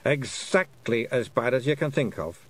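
A man's voice: mid-range voice, animated, normal speed, fairly bright tone, slightly rough. Man voicing a tiny, bold Scottish pictsy chief. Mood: grave warning. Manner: blunt, emphatic dialect speech. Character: tiny bold male pictsy clan leader.

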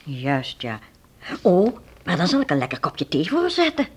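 A woman's voice: fairly low pitch, huge pitch swings, moderate pace, husky, heavily acted. An actress playing a chatty, deferential, motherly housekeeper in a radio drama. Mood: chatty, obliging.

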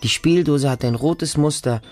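A man's voice: a little high, moderate pace, darkish tone, soft background music, natural voice. An actor playing a distrustful feral orphan boy raised by dogs, narrating a radio drama in short, insistent, repetitive childlike sentences. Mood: softening, wistful. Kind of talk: radio drama monologue, first-person narration.

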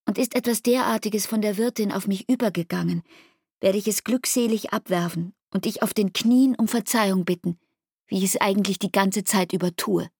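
A woman acting a hurt young lover in a literary radio drama, husky and intimate, reproaching her partner. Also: medium pitch, darkish tone, dry recording, clean studio sound. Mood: pleading, anguished.

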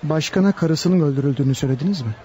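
A man's voice: fairly high pitch, very fast, warm tone, a faint crowd, a little hiss or hum, lightly acted. An actor playing a dutiful, formal male police officer on guard duty in a radio play. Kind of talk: Turkish radio play acting.

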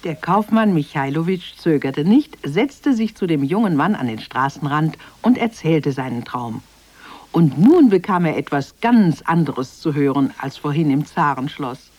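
A woman's voice: low voice, lively intonation, a little slow, a little mellow, no room sound. A woman narrating a scripted fairy tale for children in a radio play.

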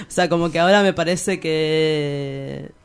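A woman's voice: low voice, slow pace, clear, resonant tone. A young Argentine woman with a Rioplatense accent, giving a casual interview in a rambling, hesitant, slangy and giggly way. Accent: Rioplatense Spanish. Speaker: interview guest, poet.

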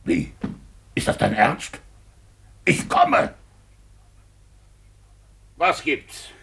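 An actor playing a middle-aged, grumbling, jealous small-town Russian police chief in a 1950s German radio play, gruff and officious with a husky voice. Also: male voice, mid-range voice, wildly swooping pitch, drawn-out words, fairly bright tone, exaggerated voice. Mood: dismissive, startled. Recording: 1950s radio drama recording.

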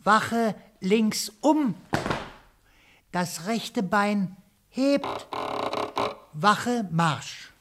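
A woman playing a palace guard calling out drawn-out drill orders in a children's radio play. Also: medium pitch, lively intonation, a bit bright. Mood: commanding. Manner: drawn-out, barked commands.

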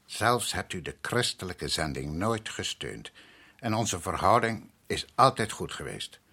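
An actor playing a proud island head priest in a radio drama, courteous and measured yet stubborn, with a husky voice. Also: male voice, sits low, big pitch swings, bright, forceful tone, full character voice. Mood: placating. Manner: measured, courteous, evasive, insistent.

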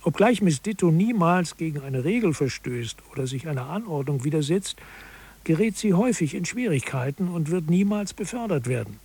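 A man reading scripted narration for a satirical radio feature in a measured, matter-of-fact manner. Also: fairly high pitch, expressive, a little mellow, breathy edge.

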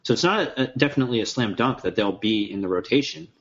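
An opinionated man jumps quickly into a casual sports podcast conversation. His voice sits at a middle pitch, and the recording sounds dull and lo-fi. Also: expressive, a bit bright. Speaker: podcast co-host.

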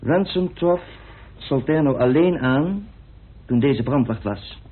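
A man's voice: medium pitch, lively intonation, slow pace, husky. An actor, arguing his case in a dry, assertive way. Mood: assertive, explaining. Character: self-assured man coolly arguing his theory of a murder. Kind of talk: radio play acting. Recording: muffled phone-like old radio recording.

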